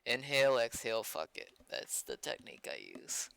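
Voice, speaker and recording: A man's voice: medium pitch, very animated, moderate pace, vocal fry, a bit bright, a little hiss or hum. A man giving casual, blunt livestream commentary with swearing while he works at a computer as a game server developer. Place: indoors at a computer.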